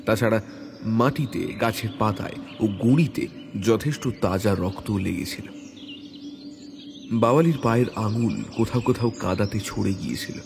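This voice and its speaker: A man reading a hunting adventure as a dramatic audio story, in a measured, suspenseful way. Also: fairly low pitch, background noise. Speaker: story narrator. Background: background music.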